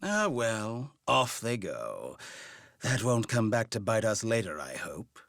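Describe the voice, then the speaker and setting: A man voicing a mocking, gleeful villain scholar in an anime fantasy game, with theatrical, drawn-out delivery. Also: on the low side, wildly swooping pitch, fairly bright tone, no room sound, clean studio sound, heavily acted. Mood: wry.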